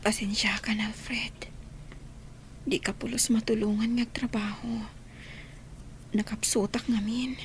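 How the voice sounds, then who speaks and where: A woman's voice: on the high side, little pitch movement, unhurried, long pauses, a little hiss or hum, lightly acted. A woman playing a frail, apologetic sick wife, whispering breathily in an Ilocano radio drama. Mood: weak, apologetic.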